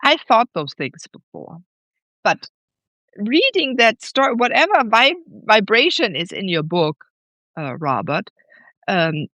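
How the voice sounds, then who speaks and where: A woman speaking as a podcast host in reflective conversation, earnest and halting, often repeating words. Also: mid-range voice, big pitch swings, fairly bright tone, no room sound, low-quality recording.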